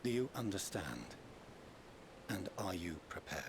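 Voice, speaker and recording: A middle-aged man playing a dignified, earnest father and leader in a game, speaking formally and with deliberate weight. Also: low voice, big pitch swings. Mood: stern, grave. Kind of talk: formal dramatic game dialogue. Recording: clear background noise.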